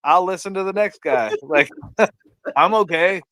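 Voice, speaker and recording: A man's voice: high voice, unhurried, sharp tone, no echo. A man chatting casually on a fan stream in a laid-back, self-deprecating way, with lots of "like" and "uh". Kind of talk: casual chat.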